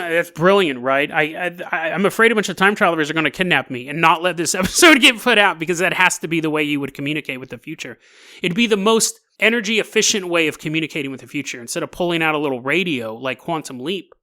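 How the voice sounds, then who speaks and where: A male podcast host with a high, bright, forceful voice. He tells conspiracy stories in a casual, self-amused way. He leans on key words and repeats himself for emphasis. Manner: jokey, self-amused, chuckles through his points. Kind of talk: casual solo storytelling about conspiracy theories.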